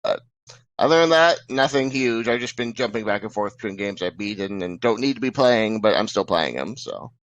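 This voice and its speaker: A man speaking casually and plainly as a podcast panelist, giving his opinions in a measured way. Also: fairly low pitch, animated, fairly bright tone, no room sound, muffled recording. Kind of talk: casual, measured gaming chat.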